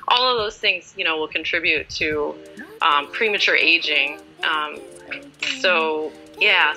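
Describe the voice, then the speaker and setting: Very bright-voiced woman in her forties, chatting. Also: low-pitched, rough recording. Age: 40s. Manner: chatty, informal, self-deprecating. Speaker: interview guest. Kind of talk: casual conversational interview.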